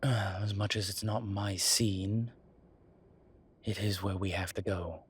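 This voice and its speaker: A man playing a suave, wry incubus demon professor in an intimate audio roleplay, with a husky voice and hesitant pauses. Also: fairly low pitch, fairly even intonation. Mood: measured explaining.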